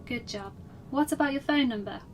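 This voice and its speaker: A woman's voice: high-pitched, moderate pace, far from the mic, slight background noise, close to an everyday voice. A woman playing a concerned police dispatcher in a horror story, speaking firmly but lightly to reassure a child caller. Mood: firm, gentle.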